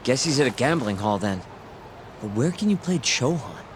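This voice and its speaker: A man's voice: mid-range voice, sing-song, normal speed, lightly acted. A man as a breezy sidekick over street noise. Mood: casual, alert. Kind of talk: video game cutscene acting.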